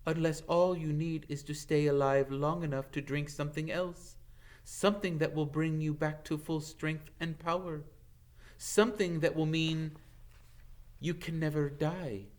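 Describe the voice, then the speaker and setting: A man voicing a noble, grave centaur with measured, formal, earnest speech in a storybook reading. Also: on the high side, fairly even intonation, pitched above the performer's own voice, close to an everyday voice. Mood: grave, solemn. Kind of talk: dramatic audiobook character reading.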